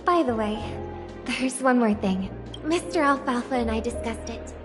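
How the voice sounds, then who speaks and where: A woman's voice: on the high side, normal speed, lightly acted. An actress voicing a polite young woman of a noble family in a game, speaking formally. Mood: gracious. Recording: game audio with music behind.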